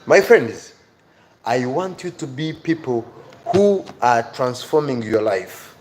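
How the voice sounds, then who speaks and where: A man's voice: mid-range voice, animated, takes it slowly, darkish tone, slight background noise, low-quality recording. A man with an African accent giving a motivational live lesson to viewers as their teacher, earnest and emphatic, repeating key phrases.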